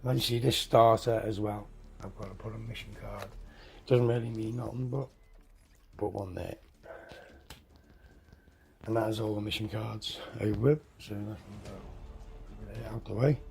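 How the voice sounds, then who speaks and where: A hoarse man getting over a cold walks through a tabletop game setup, halting, correcting himself and clearing his throat. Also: fairly low pitch, steady tone, darkish tone. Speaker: tabletop game tutorial host. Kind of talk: casual step-by-step game setup walkthrough.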